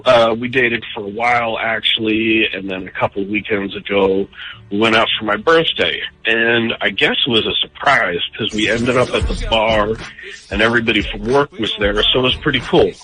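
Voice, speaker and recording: A man's voice: fairly low pitch, normal speed, bright, forceful tone, husky, rough recording. A man calling in to a radio show, telling a personal story casually in a hesitant, self-deprecating way. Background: background music.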